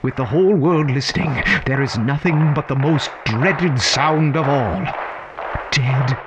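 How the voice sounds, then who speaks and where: An actor playing a whimsical, confiding storyteller, narrating a scripted audio drama with halting, theatrical pauses. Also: male voice, mid-range voice, noisy background, lightly acted. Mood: dramatic.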